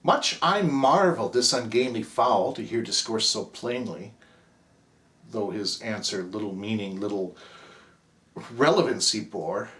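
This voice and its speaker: A man giving a dramatic, expressive poetry recitation, swinging between hushed near-whispers and agitated outbursts. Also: on the low side, unhurried.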